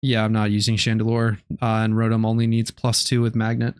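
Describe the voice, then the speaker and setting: A young man streaming a game live, chatting casually in a low-key, relaxed way with many ums and restarts. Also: a little fast, clear, resonant tone, no echo, clean studio sound. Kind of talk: casual live-stream chat while gaming.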